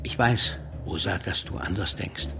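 A man's voice: low voice, measured pace, vocal fry, noisy background. An actor playing an embattled male socialist revolutionary in a German radio drama, arguing in an impassioned, rhetorical way. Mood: indignant. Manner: rhetorical, declamatory.

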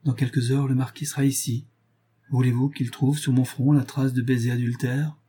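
A man's voice: mid-range voice, little pitch movement, normal speed, dark tone, husky, close to an everyday voice. A man reading a novel aloud as a remorseful married noblewoman, grave, reproachful and resolute as she renounces her lover. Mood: mournful refusal.

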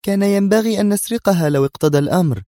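Man as an anxious, high-pitched young woman agent. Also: rapid-fire, warm tone, no room sound, clean studio sound, natural voice. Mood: tense, vexed. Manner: tense, insistent.

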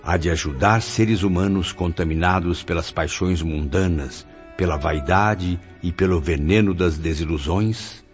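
An actor playing the sorrowful spirit of a cultured 65-year-old writer in a radio drama, speaking with solemn, formal, literary diction. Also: male voice, low-pitched, unhurried, hardly any pauses, dull audio, faint music behind. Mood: solemn, reflective. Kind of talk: dramatic radio play monologue.

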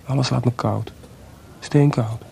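A man's voice: mid-range voice, steady tone, a little slow, vocal fry, dark tone, slight background noise. A man playing a composer in a radio play, voicing weary, tender and bitter letters in an intimate, reflective way. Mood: melancholy longing. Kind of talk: radio play monologue, letters read aloud.

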